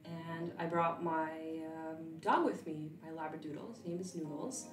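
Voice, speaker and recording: A young woman in her twenties, a vet student from California whose first language was Russian, chatting casually in an interview with relaxed fillers and restarts. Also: sits low, moderate pace, echoey room, recorded from a distance, faint music behind. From California, USA.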